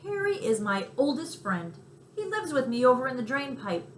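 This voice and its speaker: Woman voicing a chatty, brash, high-pitched city mouse. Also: sing-song, moderate pace. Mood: friendly, chatty. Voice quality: squeaky. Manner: talkative, scornful of snobs, city slang. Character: Tucker, chatty streetwise male city mouse, brash and friendly. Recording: roomy echo, faint background noise.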